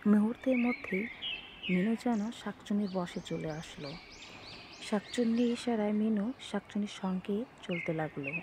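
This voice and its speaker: A woman's voice: mid-range voice, normal speed. A woman reading scripted storybook narration of a spooky folk tale. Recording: clear noise, outdoor birdsong behind. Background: birdsong and outdoor ambience.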